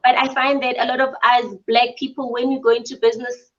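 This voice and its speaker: A woman from South Africa, a spa business owner, answering interview questions in an earnest, measured way with frequent ums. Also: on the high side, flat delivery, a bit bright. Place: her mother's home. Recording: dull lo-fi audio.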